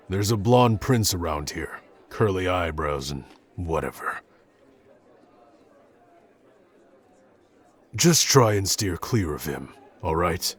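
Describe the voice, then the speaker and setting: A man playing a gruff, awkward young tsundere prince who speaks haltingly and grudgingly in an intimate roleplay audio addressed to the listener. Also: low-pitched. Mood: protective, warning. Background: background music.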